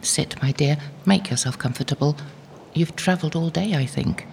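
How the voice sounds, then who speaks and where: A woman acting in an audio drama as a gentle, devout older recluse who speaks in a measured, motherly, soothing way. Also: deep voice, gravelly. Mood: warmly welcoming. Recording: reverberant hall, faint background noise.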